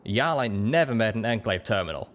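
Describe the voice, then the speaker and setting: A man's voice: on the low side, animated, brisk, natural voice. A man voicing a confident, teasing male pegasus pony with a folksy drawl, in an audio story. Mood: teasing, knowing.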